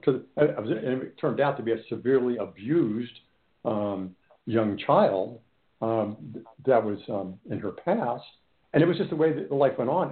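A man working as a hypnotherapist, recounting a client case in a halting, earnest way, often repeating words. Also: mid-range voice. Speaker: hypnotherapist guest. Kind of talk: conversational storytelling. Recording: echoey tinny phone line.

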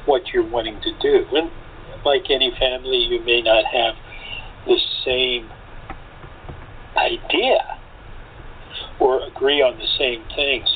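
A man in a casual group chat, speaking in a measured, earnest and reflective way. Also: medium pitch, fairly even intonation. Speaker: cast member. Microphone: internet call. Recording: tinny phone-like line, faint noise.

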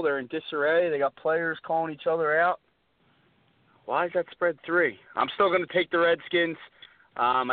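Halting American man on phone-band sports radio. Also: on the high side, dry recording. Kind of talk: casual sports talk. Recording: dry, phone-like narrowband radio sound.

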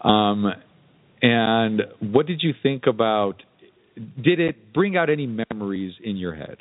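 A man's voice: lowish voice, animated, measured pace, no echo, narrow, tinny audio. A man hosting a podcast in a casual interview style, asking thoughtful, rambling questions. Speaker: podcast host.

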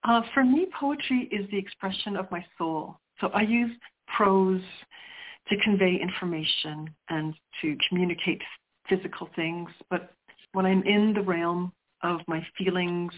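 A woman's voice: lowish voice, a little hiss or hum. A middle-aged woman, a poet whose first language is Sicilian, answering interview questions in a reflective way and often restarting as she searches for words. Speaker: poet guest. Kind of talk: interview conversation. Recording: tinny phone line.

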